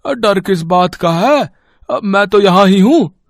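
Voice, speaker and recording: A man playing a wheedling, callous old village labourer in an audio story, with drawn-out, plaintive rustic speech. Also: high-pitched, animated, dry recording, clean studio sound, heavily acted. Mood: scolding. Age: older. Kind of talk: acted Hindi audio story dialogue.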